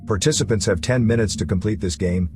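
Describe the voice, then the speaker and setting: A man's voice: on the low side, a little fast. A man reading a scripted story recap as a narrator. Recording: music running behind the voice. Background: background music.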